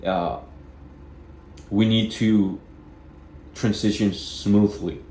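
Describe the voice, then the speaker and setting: Deep-voiced guy musing about spirituality, low and slow, echoey room. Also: fairly even intonation, warm tone, a little hiss or hum. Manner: halting, restarts often, repeats phrases. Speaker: vlogger. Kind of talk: rambling spiritual self-help monologue.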